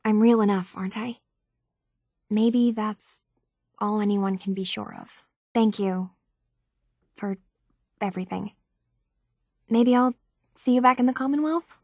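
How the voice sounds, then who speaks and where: A woman's voice: mid-range voice, unhurried, pauses often, darkish tone, dry recording, clean studio sound. An actress playing an earnest, troubled young woman unsure of who she is, speaking in a hesitant, sincere way for video game dialogue. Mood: wistful, resigned.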